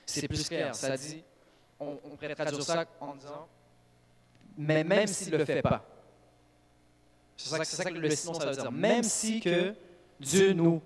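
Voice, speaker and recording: A man, a native English speaker, preaching in a church with lively bursts of emphasis and frequent restarts. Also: on the high side, unhurried, long pauses. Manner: animated preaching with restarts and self-corrections. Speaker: youth speaker. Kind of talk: sermon.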